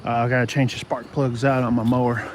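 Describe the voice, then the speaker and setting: A middle-aged man giving a casual, unscripted hobby explainer, halting and often repeating himself as he circles back to his points. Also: medium pitch, flat delivery. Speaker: radio hobbyist video host. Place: outdoors in a yard. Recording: outdoor noise with insects and traffic.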